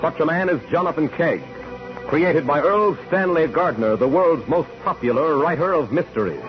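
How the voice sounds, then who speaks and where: A man's voice: a little high, lively intonation, normal speed. A man announcing a 1940s radio show in a solemn, portentous, dramatic style. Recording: dull old radio recording under music.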